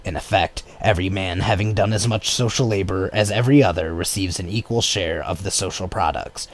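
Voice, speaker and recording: Deep-voiced man reading an audiobook. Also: a little fast. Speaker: audiobook reader. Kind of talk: scripted narration of a political text. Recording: clear background noise.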